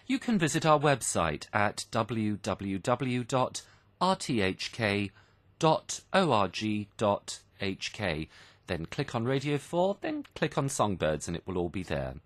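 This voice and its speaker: A man hosting an educational radio programme for language learners, with very lively, varied intonation. He explains expressions step by step in simple words and repeats them clearly for students. The sound is dry and close, as in a studio. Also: on the low side, dry recording. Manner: didactic, explains phrases simply with examples and repetition. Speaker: radio programme host and English teacher. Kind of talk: explanatory English lesson for learners, radio presenting.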